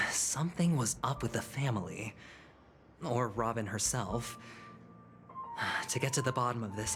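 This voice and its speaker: A man's voice: medium pitch, natural voice. Casting: male actor for a wry game-character gambler, playful and calculating schemer, music under the dialogue. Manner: playful, calculating, chuckles and sighs often. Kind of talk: video game voice acting.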